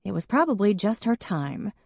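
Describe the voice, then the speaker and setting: A woman reading an audiobook, voicing a sarcastic young woman with a dry, deadpan wit. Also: mid-range voice, moderate pace, creaky, husky, no room sound, pitched above the performer's own voice, full character voice.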